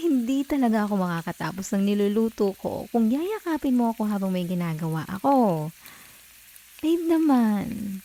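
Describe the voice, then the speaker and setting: A woman playing an affectionate, teasing young girlfriend in an intimate ASMR roleplay, talking in a soft, playful, cajoling way. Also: fairly high pitch, full character voice, low-quality recording. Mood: flustered, giggly. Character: affectionate, teasing young live-in girlfriend comforting tired partner.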